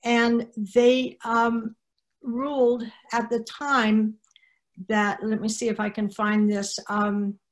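A woman's voice: fairly high pitch, level tone, takes it slowly. An older woman speaking informally as a panelist on a video call, often searching for her words. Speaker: panelist, activist coordinator. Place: room at home, on a video call.

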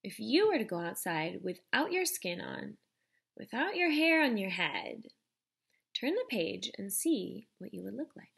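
A young woman reads a picture book aloud as a teacher would for small children. Her pace is slow and deliberate, and her pitch sits in the middle range. She is expressive and speaks straight to the young listener. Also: gravelly, breathy edge.

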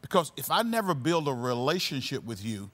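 Man, pastor hosting a podcast, average pitch, measured and affirming delivery. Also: sing-song, a bit bright. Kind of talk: conversational interview.

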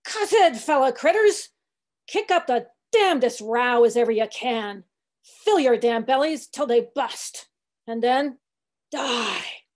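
Woman acting a grumbling old ship's cook, high-voiced. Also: expressive, a little slow, a little mellow. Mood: angry preaching. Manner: sullen, testy, muttering dialect speech. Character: grumbling, weary ninety-year-old male ship's cook. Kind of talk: literary audiobook character reading.

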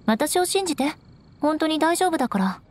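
A young noblewoman knight from an anime game with a high, expressively inflected voice. She is proud and stoic, speaking with measured formality and haughty composure over background music. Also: big pitch swings, a little hiss or hum. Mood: calm, resigned. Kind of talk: Japanese anime game voice acting.

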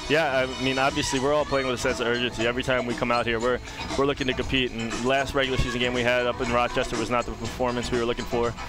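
A man's voice: mid-range voice, little pitch movement, fast, hardly any pauses, music in the background. A young man, a lacrosse player, giving a post-game interview on a headset at an outdoor stadium sideline. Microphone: broadcast headset mic.

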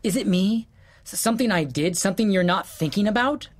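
A man's voice: high voice, animated, brisk. An actor playing a bewildered husband who questions his wife in an audio drama. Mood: incredulous. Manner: incredulous, questioning, dry. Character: bewildered, hurt husband, middle-aged.

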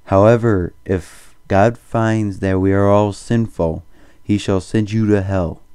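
A man's voice: lowish voice, steady tone, slow pace, dark tone, smooth, no echo. A man reading scripted 1930s-style propaganda film narration in a solemn, formal, preachy manner. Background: music.

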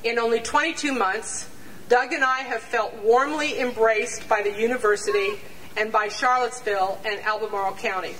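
An older woman reading a prepared statement aloud to a rally on an outdoor lawn, measured and deliberate, sometimes stumbling and restarting over numbers. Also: on the high side, steady tone, fairly bright tone. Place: outdoor campus lawn before a large crowd. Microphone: standing mic on stand, picked up from a distance. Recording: distant outdoor pickup with crowd noise.

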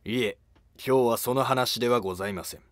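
A man playing a scheming, calculating court noble of ancient Japan, speaking formally in a historical animated drama. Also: medium pitch, a little fast, dry recording, studio quality, lightly acted. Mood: deferential, insinuating.

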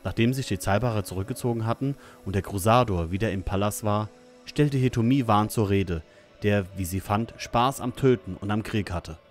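A man's voice: lowish voice, normal speed, soft background music. A man narrating an audio drama in a scripted storytelling style.

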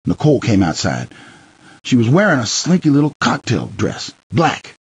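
A man reading a first-person memoir as an audiobook narrator, theatrical, swinging between hushed and heated delivery, with a husky, gravelly voice. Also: mid-range voice, wildly swooping pitch, normal speed, dry recording, lo-fi sound.

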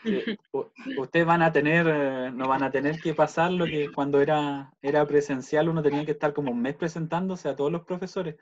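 Chilean guy chatting as host of online meeting, voice a bit high, muffled video call audio. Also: steady tone, a little fast, hardly any pauses. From Puerto Montt, Chile. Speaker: host of a virtual university welcome session. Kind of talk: casual chat, reading out attendees' messages. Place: indoors at home, on a video call. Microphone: computer video-call microphone.

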